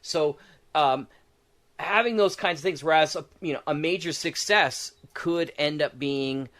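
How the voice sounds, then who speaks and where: A man's voice: a little high, unhurried, fairly bright tone. A man chatting casually about game design as a podcast co-host, rambling and thinking aloud as he goes.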